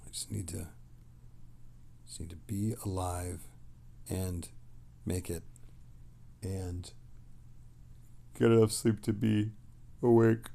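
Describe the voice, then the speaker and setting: Low, gravelly man chatting on stream. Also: fairly even intonation, takes it slowly, pauses often, mellow. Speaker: livestream host reading chat messages. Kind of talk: casual livestream chat, reading messages aloud.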